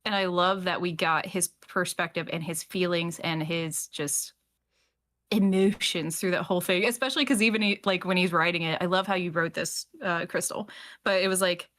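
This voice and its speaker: Female podcast host, bright tone, casual and gushing, prone to acted asides. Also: fairly low pitch, steady tone, moderate pace. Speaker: host of a fiction podcast discussion. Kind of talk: casual panel chat.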